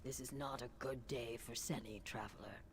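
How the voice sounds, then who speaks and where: An actor playing a calm, fatalistic lizard-folk mystic in a fantasy video game, speaking in measured, cryptic proverbs. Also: male voice, mid-range voice, lightly acted. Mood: grave, calm.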